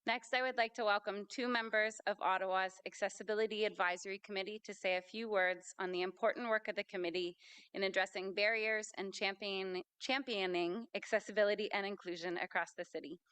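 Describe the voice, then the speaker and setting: A woman's voice: medium pitch, fairly even intonation, fairly quick, fairly bright tone, smooth. A woman emcee reading polished, formal introductions of the next speakers at an event in a large hall. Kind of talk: scripted introductions.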